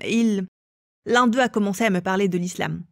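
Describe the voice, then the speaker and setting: A woman's voice: mid-range voice, moderate pace, no echo, studio quality. A woman from England telling her own life story as an interview guest. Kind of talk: personal testimony in an interview.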